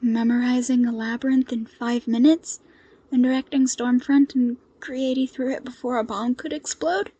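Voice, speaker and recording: A woman voicing a nervous, awestruck young male intern in an audio story, halting and tentative. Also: on the high side, fairly even intonation, warm tone, breathy edge, dull audio.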